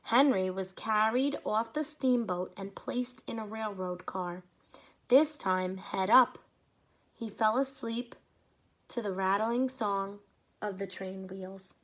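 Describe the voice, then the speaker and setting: A woman teacher reading a picture book aloud to children, with expressive pacing and short explanatory asides. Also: mid-range voice, slow pace.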